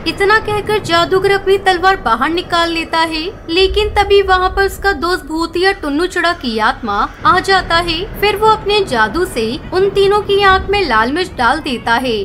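A woman's voice: extremely high pitch, lively intonation, moderate pace, few pauses, fairly bright tone. A woman narrating a scripted children's story as the storyteller. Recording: clear background noise and ambience.

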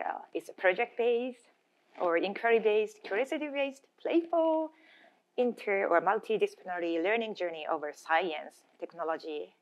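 A middle-aged Japanese woman speaks at a conference lectern in a slightly low voice. Her English carries a Japanese accent and comes haltingly, with restarts as she searches for the word. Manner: halting, searching for words in a second language. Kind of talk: conference presentation. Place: indoor conference room, at a lectern. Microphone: gooseneck lectern microphone.

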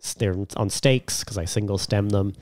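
A man hoarse and raspy with a cold, chatting casually as a podcast co-host in a sweary, self-deprecating way. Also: fairly low pitch, normal speed, a little mellow, no echo, studio quality. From a subtropical island. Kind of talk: casual chat.

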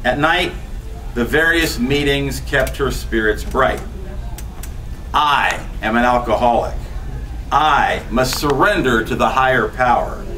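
A man's voice: medium pitch, slow. A man in his fifties giving a poetry reading with chatty, laughing asides in a small bookstore room. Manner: animated, gesturing, laughs between lines. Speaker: poet. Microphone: far camera, no mic. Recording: voice from a distance.

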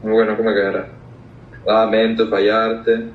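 A man's voice: on the low side, little pitch movement, normal speed, reverberant, far from the mic, phone-quality sound. A young man from Italy with an Italian accent, speaking English as a second language and hesitating as he searches for words. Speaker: video-chat stranger.

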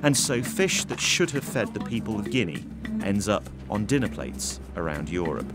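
Low-voiced man narrating over music. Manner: measured, even documentary delivery. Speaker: documentary narrator. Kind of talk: scripted narration.

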